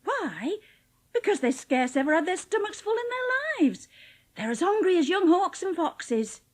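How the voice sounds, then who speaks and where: A woman's voice: very high-pitched, sing-song, unhurried, heavily acted. A woman playing a cheerful, plain-spoken young housemaid with a Yorkshire accent in a dramatised audiobook. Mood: scolding, earnest. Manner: chatty, blunt, homely dialect speech.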